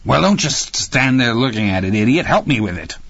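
A man's voice: on the low side, lively intonation, brisk, pitched above the performer's own voice. A man voicing a coarse, bullying, overweight aging wife in an audiobook, impatient and full of contempt. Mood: impatient, scolding. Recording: roomy, slightly dull audio.

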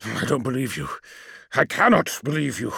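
A man's voice: mid-range voice, big pitch swings, normal speed, vocal fry, bright, forceful tone, breathy edge, no room sound, studio quality, full character voice. A man voicing a towering, wrathful demigod warlord with a fracturing mind, in imperious and anguished declamation for an audiobook. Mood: anguished confusion.

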